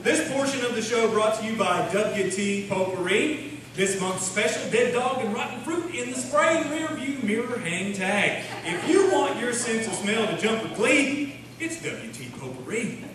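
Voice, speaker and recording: A man's voice: very high voice, animated, measured pace, crowd noise. A man working as the announcer of a folksy, scripted comedy radio show. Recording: echoey hall, distant mic, noisy.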